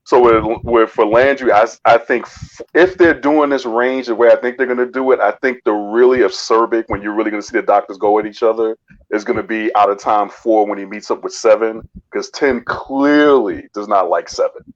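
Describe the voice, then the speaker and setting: A man with a lowish voice speaks casually as a review panellist. He is emphatic and tends to repeat himself, heard through a dull, lo-fi microphone. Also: fairly even intonation, fairly quick. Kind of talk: casual opinionated discussion.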